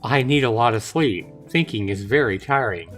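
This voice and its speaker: A man acts out a young woman in a visual novel, cheerful and a little airy. She speaks in a light, guileless, playful way to her friends. Soft music runs behind the voice. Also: mid-range voice, lively intonation, normal speed, lightly acted. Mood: chatty. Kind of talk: visual novel character read aloud.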